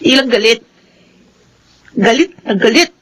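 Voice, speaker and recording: An actress playing a bitter, resentful young housemaid abandoned as a child, in a Filipino radio drama with a Filipino accent. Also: female voice, on the high side, animated, a little slow, fairly bright tone. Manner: curt, accusing, emotional delivery.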